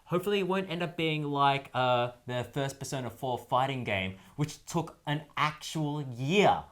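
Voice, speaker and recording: A man hosting a video game show in casual, jokey, animated fan talk. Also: mid-range voice, normal speed. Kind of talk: casual chat.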